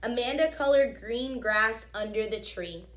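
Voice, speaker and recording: A woman reading a picture book aloud as a teacher, expressively, pausing to explain things directly to young children. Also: fairly high pitch. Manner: expressive, instructive, addresses young listeners directly. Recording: echoey, reverberant room.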